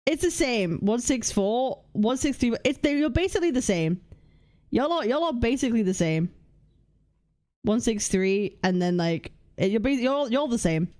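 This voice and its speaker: Casting: young woman streamer, fairly high voice, blunt and crude, chatty and offhand. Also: expressive, moderate pace, darkish tone. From United Kingdom. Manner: blunt, swears freely, thinks aloud while reading lists. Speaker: livestream host. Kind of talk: casual livestream chat reading chat aloud.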